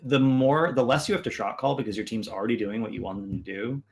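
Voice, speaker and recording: A man on a panel, talking in a measured, explanatory way. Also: fairly low pitch, darkish tone, slight background noise. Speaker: panel guest. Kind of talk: casual panel chat.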